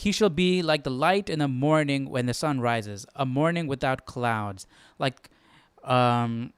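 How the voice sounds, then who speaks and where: A man with a clear, smooth voice talks in a casual, unscripted way, as a study host would. He muses aloud and puts questions to himself between bits of reading. The sound is close and dry. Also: medium pitch, dry recording. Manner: musing aloud, asking questions. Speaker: host of a Bible study stream.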